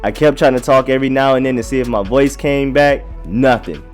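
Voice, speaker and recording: A young man hosting a sports show, hoarse from a cold, talking in a slangy, chatty, emphatic way. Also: mid-range voice, brisk, faint music behind. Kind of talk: casual sports commentary.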